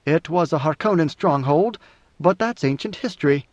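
A man's voice: a little high, lively intonation, normal speed, no echo. A man voicing a blunt, plain-spoken male commander of a royal guard. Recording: dry, slightly muffled recording.

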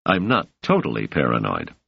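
A tired male scholar who gets prickly when doubted, as a male audiobook narrator reads him. The voice is very low and plain. It is recorded dry and a little muffled. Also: wildly swooping pitch, normal speed, gravelly, husky, no room sound, full character voice. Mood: defensive. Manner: close to the reader's own voice. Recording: dull, dry, lo-fi sound.

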